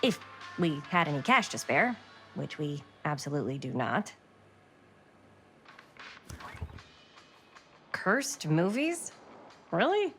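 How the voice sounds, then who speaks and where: An actress playing a wry, driven young amateur filmmaker with an American accent in a video game, teasing and emphatic. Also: female voice, low voice, big pitch swings, unhurried, a bit bright, soft background music, full character voice. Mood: wry, musing. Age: young adult. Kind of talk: naturalistic video game acting.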